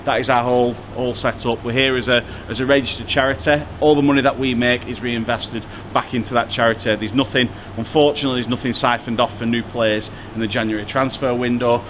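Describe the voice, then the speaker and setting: Male executive interviewee, medium pitch, informative, faint background noise. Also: fairly even intonation, fast talker, hardly any pauses, a bit bright. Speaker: business development executive being interviewed.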